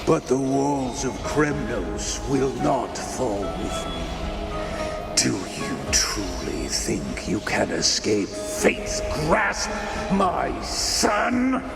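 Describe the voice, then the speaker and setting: Game villain-king: gravelly, grandiose, menacing father figure, very slow, cavernous reverb. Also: mid-range voice, very animated, full character voice. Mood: menacing, exultant. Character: menacing warrior king, a fate-obsessed father taunting his son. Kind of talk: dramatic video game voice acting. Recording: cavernous long reverb, loud music behind.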